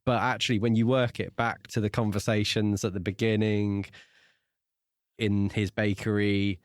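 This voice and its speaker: A man's voice: on the low side, little pitch movement, normal speed, no echo, studio quality. A man co-hosting a podcast and casually discussing a book. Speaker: podcast co-host.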